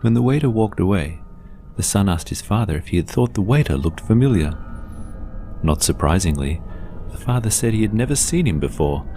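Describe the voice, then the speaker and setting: Male horror narrator, very deep register, deliberate dramatic storytelling, light music bed. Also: lively intonation, dark tone.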